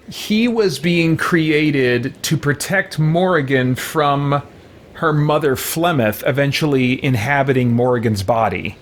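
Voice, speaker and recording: A man in his thirties giving casual gameplay commentary, thinking aloud as he plays. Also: fairly high pitch. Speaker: gaming video host. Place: book-lined room at home.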